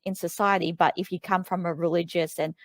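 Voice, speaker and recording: A woman's voice: on the low side, fairly even intonation, a little fast, no echo, studio quality. A woman author being interviewed, speaking thoughtfully and hesitantly with frequent ums and restarts. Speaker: author guest. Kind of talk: conversational interview.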